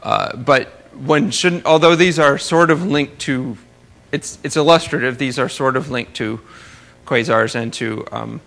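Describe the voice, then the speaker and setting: A man giving an academic conference talk as a researcher, halting and rephrasing as he explains his slides. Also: medium pitch, vocal fry, a bit bright. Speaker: astrophysics researcher presenting at a conference.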